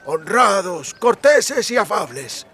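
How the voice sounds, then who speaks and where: A man's voice: high voice, big pitch swings, slow, pushed and bright, husky, pitched above the performer's own voice, full character voice. A man voicing an eager, chatty amulet merchant with effusive, wheedling sales patter in an audiobook. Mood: eager, confiding. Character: eager, chatty male amulet merchant peddling quack remedies. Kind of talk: audiobook character acting.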